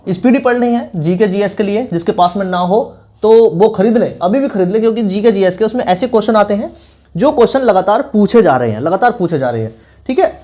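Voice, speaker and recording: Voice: mid-range voice, animated, brisk, mellow. A speaker giving informal exam coaching advice as an online teacher, in an instructive, repetitive way with frequent check-in tags.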